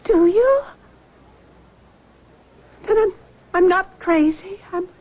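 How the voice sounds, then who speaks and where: A woman's voice: very high-pitched, animated, slow pace, heavily acted. An actress playing a nervous, troubled young woman in a radio drama, hesitant and stammering. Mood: frightened, agitated. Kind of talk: 1970s radio drama acting. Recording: muffled, noisy old radio recording.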